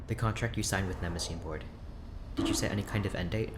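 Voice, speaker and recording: A man's voice: sits low, fast talker, noisy background, natural voice. A man playing a reserved, weary research doctor in an audio drama, speaking in a guarded, hesitant, clinical way.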